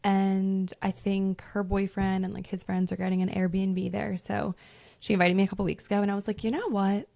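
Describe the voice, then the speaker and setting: A young adult woman co-hosting a podcast in relaxed, chatty talk full of "like". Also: medium pitch, moderate pace, gravelly. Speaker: podcast co-host. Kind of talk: casual chat.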